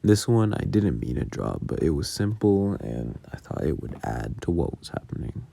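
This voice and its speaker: A man's voice: deep voice, sing-song, normal speed, gravelly, warm tone, a little hiss or hum. A man giving casual, rambling behind-the-scenes commentary in a self-deprecating, casually sweary way. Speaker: audio roleplay creator.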